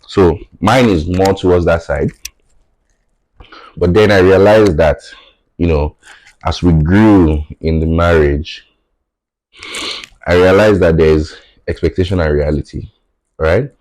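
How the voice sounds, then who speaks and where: A Nigerian man whose first language is Yoruba, a podcast guest, talking in a measured, thoughtful way and often checking in with "right?". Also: low voice, a little mellow, no echo, rough recording. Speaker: podcast guest, tech founder. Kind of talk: reflective conversational interview.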